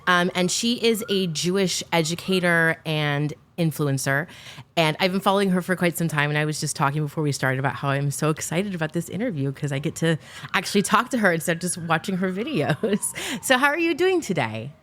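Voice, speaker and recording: A woman's voice: lowish voice, expressive, a little fast, a bit bright. A young adult woman hosting a podcast interview over a remote call. She talks in a chatty, enthusiastic way with many "like" fillers. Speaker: podcast host. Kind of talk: casual interview chat.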